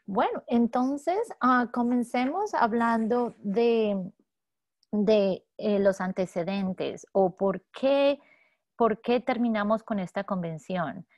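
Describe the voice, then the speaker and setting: A woman mediator presenting a webinar lecture, speaking haltingly with many fillers and restarts over a video call. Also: on the high side, a little mellow, no echo. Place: home office in San Diego.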